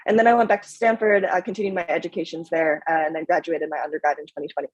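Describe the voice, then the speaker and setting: A woman's voice: deep voice, steady tone, fast talker, breathy edge, no echo, muffled recording. A woman in her twenties, born in Japan with Japanese as her first language, speaking as a guest on a panel in an open, reflective way. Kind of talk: casual panel talk.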